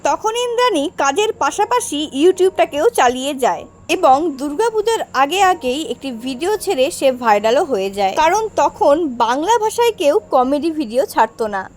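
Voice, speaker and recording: A woman narrates a scripted video as its host. Her voice sits very high and moves with animated intonation as she addresses viewers directly. Music plays faintly behind her. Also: moderate pace. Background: background music.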